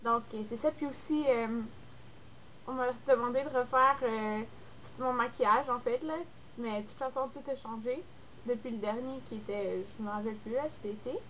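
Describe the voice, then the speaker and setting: A woman's voice: on the high side, fairly even intonation, moderate pace. A young woman with a Québécois French accent, congested with a cold, chatting casually and rambling with lots of fillers in a vlog. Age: young adult. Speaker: beauty vlogger. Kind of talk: casual chat to camera. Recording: dull lo-fi recording with faint noise.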